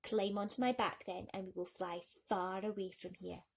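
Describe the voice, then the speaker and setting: A woman voicing a gentle, grateful male swallow in a storybook, speaking tenderly and slowly. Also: mid-range voice, fairly even intonation, vocal fry, husky.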